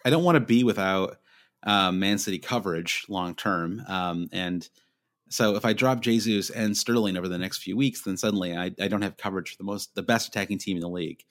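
Low-voiced man mulling over picks. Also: brisk, a bit bright. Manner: hesitant, thinks aloud with fillers. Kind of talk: casual fantasy football chat.

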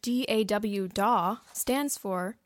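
A woman's voice: mid-range voice, slow. A woman co-hosting a podcast, chiming in playfully and informally to listeners on a close studio microphone. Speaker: podcast co-host. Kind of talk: casual playful podcast banter.

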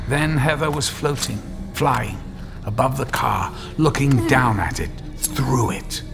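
A man narrating a horror story in a theatrical, dramatic style with deliberate phrasing. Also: mid-range voice, vocal fry, over music.

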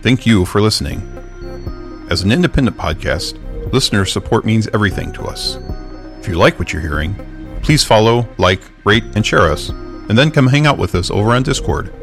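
Gravelly, low male podcast announcer. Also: animated, brisk. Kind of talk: scripted narration. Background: music.

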